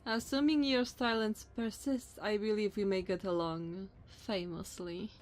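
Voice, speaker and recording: Woman doing a tall vampire noblewoman for asmr roleplay, really slow and drawn out, haughty, bossy, mocking, talks all formal. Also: mid-range voice, fairly even intonation, natural voice. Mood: imperious, appraising. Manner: slow, condescending, theatrical formal diction.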